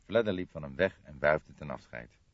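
Man, dramatic scripted narration, detective audiobook, lively intonation, very dry, slightly dull lo-fi sound. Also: very deep voice, moderate pace, dry recording.

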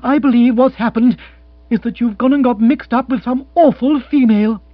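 Man voicing spirited, scolding young Englishwoman, raised pitch. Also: moderate pace, dry recording. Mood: cold, indignant. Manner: emphatic, scolding. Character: spirited, outspoken young Englishwoman, indignant fiancée. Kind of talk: comic audiobook character acting. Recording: muffled recording with hum.

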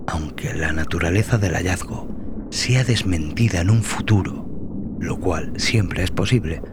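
A man narrating dramatic scripted horror as a podcast host, brooding, theatrical and deliberate in his delivery. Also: low-pitched, noisy background. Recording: loud music under the voice.

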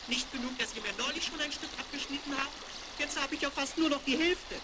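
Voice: a little fast, natural voice. An actor voicing a screeching, ungrateful little old dwarf in a fairy-tale radio play for children. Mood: indignant scolding. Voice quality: screeching, shrill. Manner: scolding, indignant, quarrelsome. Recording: crackly 1970s vinyl record.

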